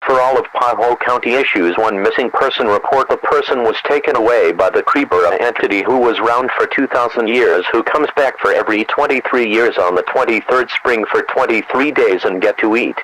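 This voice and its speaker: A man's voice: mid-range voice, fairly quick, hardly any pauses, a bit bright, a little hiss or hum, natural voice, low-quality recording. A man acting as a county emergency alert announcer issuing official warnings. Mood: grave warning.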